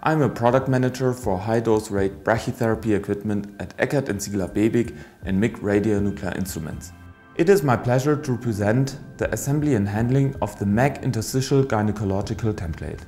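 A man in his thirties giving a scripted, formal, step-by-step instructional presentation of a product in a measured way. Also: fairly low pitch, a little mellow, faint music behind. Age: 30s.

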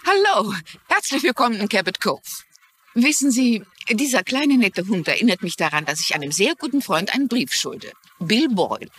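An actress playing a shrewd, genteel middle-aged mystery writer and amateur sleuth, explaining things articulately in a German-dubbed TV mystery. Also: female voice, mid-range voice, sing-song, moderate pace, a bit bright, husky. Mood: chatty, storytelling. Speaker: lead character and narrator.

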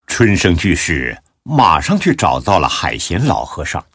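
A man narrating a scripted story as an audiobook narrator, in a deep, husky voice. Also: huge pitch swings, measured pace, fairly bright tone, dry recording, studio quality.

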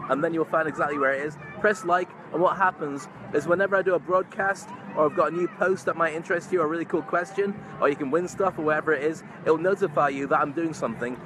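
Clear outdoor noise surrounds a middle-aged man with a somewhat high voice. He talks in a chummy, effusive way, as in a casual live stream. The phone is at arm's length in an open, busy park. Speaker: vlogger, entrepreneur and stage hypnotist. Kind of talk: casual chatty live-stream vlog to followers. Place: large open park outdoors among crowds. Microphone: phone held at arm's length. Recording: noisy outdoor night crowd ambience.

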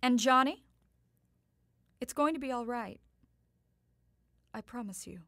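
A woman playing a tender, doting mother in an audio drama, with gentle, proper, slightly theatrical diction. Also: on the high side, unhurried, no echo, studio quality. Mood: worried, reassuring.

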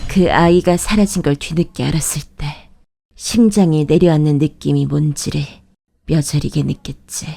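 A woman playing a brooding witch, narrating a dark fairy tale in a measured, ominous, matter-of-fact manner. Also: sits low, fairly even intonation, vocal fry. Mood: regretful. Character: brooding witch who raises a child in hiding. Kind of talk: scripted first-person horror fairy-tale narration.

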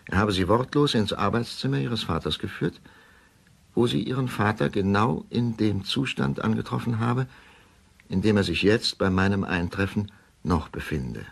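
An actor playing a haunted witness who recounts events in a sombre, precise, formal manner in a radio play. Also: male voice, fairly low pitch, moderate pace, creaky, slightly rough. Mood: grave, precise. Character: grave male narrator of a written account, haunted. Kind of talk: radio play scripted narration.

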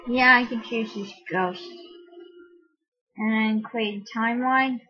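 A tutorial host casually narrating software steps in short, halting fragments. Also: mid-range voice, fairly even intonation. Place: voice-over for a screen recording. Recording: dull, muffled lo-fi home recording.

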